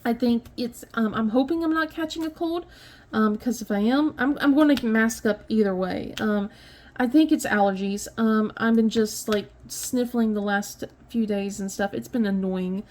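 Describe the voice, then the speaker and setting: A woman in her thirties talks casually at home, a touch sniffly. Her voice sits fairly high, and she wanders through her thoughts with fillers, restarts and small laughs. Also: normal speed, a little mellow. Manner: rambling, many fillers and restarts, light laughs. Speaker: crafting vlogger. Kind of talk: casual chat while diamond painting.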